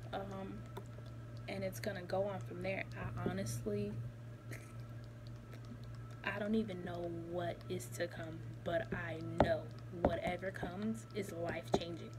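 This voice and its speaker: A woman in her thirties chats straight into her phone camera in a small office, animated and talkative, leaning on repeated words for emphasis. Her voice sits in an ordinary middle pitch. A steady hum runs under the close phone recording. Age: 30s. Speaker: vlogger. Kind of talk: casual chat to camera. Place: small office room indoors. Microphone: phone camera held close.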